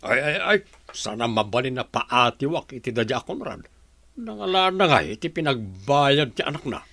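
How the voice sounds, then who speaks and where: A man's voice: medium pitch, very animated, moderate pace, gravelly, sharp tone, no room sound, exaggerated voice. A man playing a grumbling, envious member of a struggling farm family in a radio drama.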